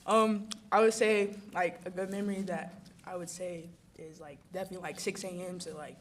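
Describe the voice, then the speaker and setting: A woman's voice: fairly low pitch, fairly even intonation, normal speed. Young woman, slightly off-mic. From Phoenix, USA. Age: young adult. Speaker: college athlete. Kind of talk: informal banquet speech. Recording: slightly distant mic, faint noise.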